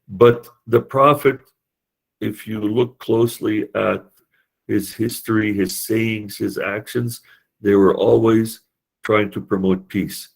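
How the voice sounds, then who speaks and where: Male lecturer, gravelly and low, teaches a class and quizzes students with rhetorical questions, hesitant. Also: steady tone, slow pace. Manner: explains with rhetorical questions, frequent hesitant fillers.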